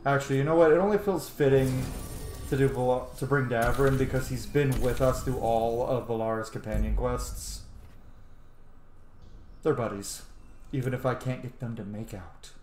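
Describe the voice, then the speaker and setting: A man's voice: medium pitch, steady tone, normal speed, darkish tone. Casual man gaming in an echoey room. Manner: chatty, thinking aloud, swearing. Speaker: video game streamer. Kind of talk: casual gameplay commentary. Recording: roomy echo, light background noise.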